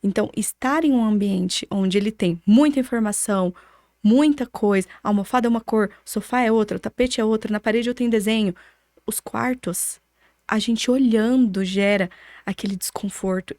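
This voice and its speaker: A woman's voice: mid-range voice, normal speed, darkish tone, no room sound, clean studio sound. A woman with a Brazilian Portuguese accent explaining things didactically, with examples, as an interview guest. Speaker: podcast guest, architect.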